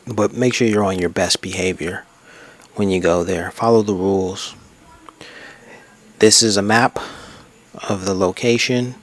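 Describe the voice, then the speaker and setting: A low-pitched male travel vlogger talks over his own pictures at a desk in a room. His delivery is unscripted and reflective, wandering casually from one memory to the next. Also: steady tone, a little slow, long pauses. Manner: rambling, reflective, reminiscing. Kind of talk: casual unscripted slideshow narration.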